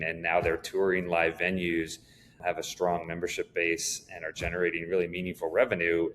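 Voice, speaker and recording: A man speaking as an executive guest in a conversational business interview, explaining things in a measured way. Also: low voice, a little hiss or hum.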